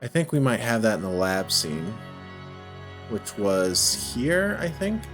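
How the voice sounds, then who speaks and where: A man's voice: fairly low pitch, big pitch swings, slow, music in the background. A man giving casual gameplay commentary, thinking aloud in a hesitant, self-questioning way as he works through a puzzle game. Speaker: video game playthrough host.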